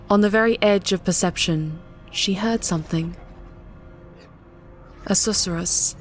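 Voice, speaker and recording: Lower-pitched woman narrating dark fiction. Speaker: audiobook narrator. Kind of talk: scripted dramatic story narration.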